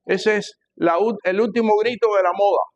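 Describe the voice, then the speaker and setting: An older man with a Cuban Spanish accent preaching to a congregation, emphatic and repeating phrases, swinging between loud and hushed delivery. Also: high voice, moderate pace, lo-fi sound. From Cuba. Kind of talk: sermon, teaching a church congregation.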